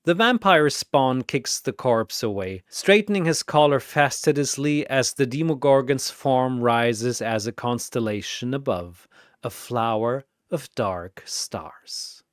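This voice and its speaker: A man's voice: mid-range voice, slow, smooth, no echo, studio quality. A man narrating a scripted fantasy battle in a dramatic storytelling style.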